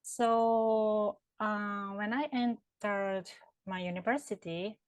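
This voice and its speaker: A woman's voice: mid-range voice, fairly even intonation, slow pace, dry recording. A woman with a Japanese accent, working in HR consulting, answers interview questions thoughtfully, with many fillers and restarts. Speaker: HR consultant and career counselor.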